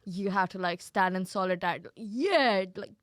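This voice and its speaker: A woman's voice: medium pitch, moderate pace, dry recording, studio quality. A young woman comedian from Kuwait chatting casually, laughing often and swearing freely. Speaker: comedian guest. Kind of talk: casual podcast chat.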